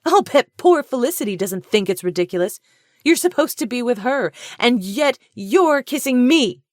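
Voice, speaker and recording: A woman voicing a jealous, fiery young woman who hurls sharp, scornful accusations in a raised voice. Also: expressive, normal speed, dry recording, clean studio sound, close to an everyday voice. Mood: furious. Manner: sharp, accusing, high-pitched outbursts.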